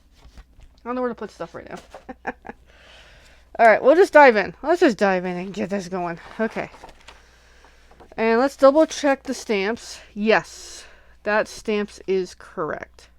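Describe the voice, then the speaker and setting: A woman's voice: medium pitch, expressive, slow, long pauses. A sniffly woman hosting a casual crafting livestream, chatting and thinking aloud as she unboxes and works at home.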